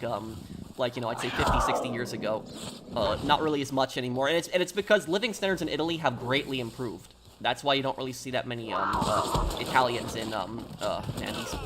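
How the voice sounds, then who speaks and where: Halting American man streaming over clear noise. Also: mid-range voice, a bit bright. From New York, United States. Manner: halting and rambling, repeats and restarts phrases. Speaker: game livestreamer. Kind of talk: casual livestream chat while gaming.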